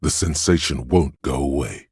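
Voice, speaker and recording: A man voicing a grim, wry inner voice of pain in a video game, narrating to the listener in a husky, deliberate, ominous way. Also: very deep voice, darkish tone, no echo, clean studio sound, exaggerated voice. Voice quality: gravelly, husky. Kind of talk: scripted second-person game narration.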